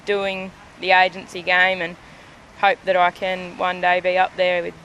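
A young woman starting out as an auctioneer, with a deep voice, talking eagerly and with determination. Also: monotone, unhurried, fairly bright tone, dull audio, slight background noise. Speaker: trainee auctioneer.

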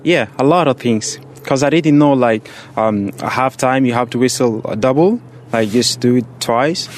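A man's voice: medium pitch, moderate pace, a little hiss or hum. A seventeen-year-old young man from Egypt answering interview questions in a casual, plain-spoken and hesitant way. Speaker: student on a referee course, interviewee.

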